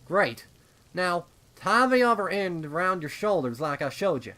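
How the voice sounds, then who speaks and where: A man's voice: sits high, big pitch swings, unhurried, bright, forceful tone, voice pushed up, full character voice. A man voicing a determined, protective older sister mare, a folksy farm pony calling out urgently in a storybook reading. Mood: encouraging.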